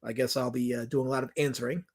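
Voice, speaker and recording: A man's voice: mid-range voice, little pitch movement, fast, slightly rough. A man with a New York accent hosting a livestream Q&A, rambling and emphatic as he answers viewers' questions. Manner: rambling and emphatic, with many restarts and repetitions. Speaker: documentary director hosting a livestream Q&A. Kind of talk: casual livestream chat, reading viewer comments.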